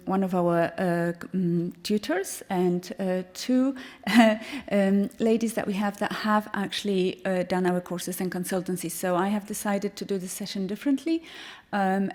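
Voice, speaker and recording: Woman hosting a conference panel, medium pitch, kinda halting as she explains and asks stuff. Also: darkish tone. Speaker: session chair and host. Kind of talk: informal panel moderation at a conference.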